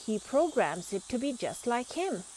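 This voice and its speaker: A woman's voice: a little high, very animated, measured pace, darkish tone, slight background noise, poor audio. A woman reading a children's storybook aloud as the narrator. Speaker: storybook reader.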